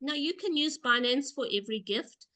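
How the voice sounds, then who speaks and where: A woman's voice: high-pitched, expressive, normal speed, pushed and bright. A woman hosting a community call, explaining things step by step with emphatic repetition. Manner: emphatic, repeats key points. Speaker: call host.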